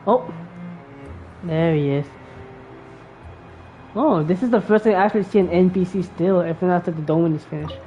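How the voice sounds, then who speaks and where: A woman's voice: lowish voice, moderate pace, long pauses, mellow, faint music behind. A woman giving casual, rambling gameplay commentary in an informal way. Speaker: gameplay streamer. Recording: dull lo-fi mic over game noise.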